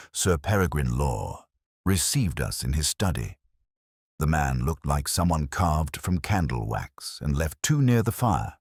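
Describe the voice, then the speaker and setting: A man reading a literary detective story in the first person, with measured, reflective, melancholy phrasing. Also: extremely low pitch, breathy edge, no room sound, clean studio sound. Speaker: narrator of a detective mystery story.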